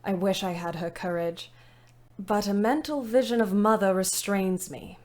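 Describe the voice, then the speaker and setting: Actress as measured, wry young diarist. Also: female voice, mid-range voice, steady tone, darkish tone, lightly acted. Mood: wry, matter-of-fact. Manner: measured, reflective, dryly ironic. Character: young British woman ambulance driver, wry, self-deprecating diarist. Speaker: narrator. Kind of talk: dramatic first-person diary narration in audio play.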